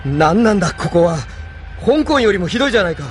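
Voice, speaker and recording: An actor playing an idealistic, troubled young rebel leader in an anime audio drama, intense and questioning. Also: male voice, sits high, big pitch swings, fast talker, music in the background. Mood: indignant.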